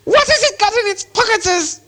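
A storybook reader voicing a wretched, obsessive cave creature, suspicious and whining, with a hissing screech and drawn-out, broken speech. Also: very high voice, lively intonation, sharp tone, pitched above the performer's own voice, full character voice. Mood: menacing hiss. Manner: whining, drawn-out words, broken childlike grammar. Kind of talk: storybook character voice.

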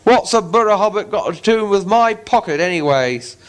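A man voicing a big, coarse male troll who talks in rough, common speech. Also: high-pitched, lively intonation, normal speed, voice pushed up. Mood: gruff.

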